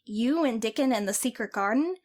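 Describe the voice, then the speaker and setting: A woman doing a high-voiced, wonder-struck boy for an audio drama, lively and excitable. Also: a little fast. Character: sickly boy recovering, eager and wondering. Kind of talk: amateur audio drama acting.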